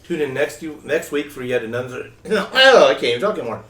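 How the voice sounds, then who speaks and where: A man's voice: mid-range voice, big pitch swings, a little fast, pushed and bright, echoey room, distant mic. A man hosting a casual panel chat, talking in a chatty way and often stumbling and correcting himself. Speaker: podcast host.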